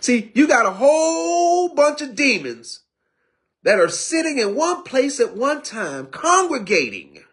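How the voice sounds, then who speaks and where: Animated streetwise man in his fifties. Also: very high voice, unhurried. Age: 50s. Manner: preachy, streetwise, repetitive restarts. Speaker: recovery speaker. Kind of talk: personal testimony talk.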